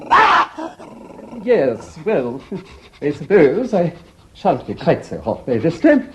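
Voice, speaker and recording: Fussy english parson in a farce, gushy and dithery, voice goes up and down loads. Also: male voice, a little high, wildly swooping pitch, takes it slowly, fairly bright tone, a little hiss or hum, exaggerated voice. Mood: fussy, dithering. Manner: gushing, hesitant, over-polite. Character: fussy, effusive male English parson on a motorbike holiday. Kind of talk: theatrical farce acting.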